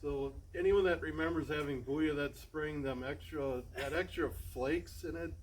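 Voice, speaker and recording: Relaxed man in his forties, dry humor. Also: fairly high pitch, moderate pace, a little hiss or hum, low-quality recording. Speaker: parish volunteer cook. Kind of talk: casual chat.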